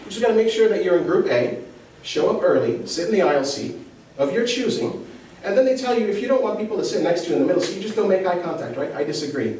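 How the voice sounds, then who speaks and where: A man's voice: sits high, lively intonation, quick pace, darkish tone, a little hiss or hum. A man from Egypt performing a stand-up comedy set, conversational and self-deprecating, often asking the crowd questions. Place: comedy club stage. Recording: echoey club from far off with crowd laughter.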